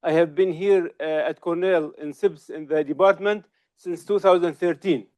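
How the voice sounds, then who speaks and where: A middle-aged man from Syria, giving an academic seminar lecture in a halting way with many hesitations and restarts. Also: fairly high pitch, fairly even intonation, a little mellow. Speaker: research associate giving a seminar. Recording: slightly dull lo-fi recording.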